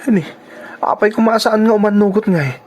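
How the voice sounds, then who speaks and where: A man's voice: high voice, fairly quick, darkish tone. A man with an Ilocano accent playing an earnest, insistent young boyfriend in an audio drama.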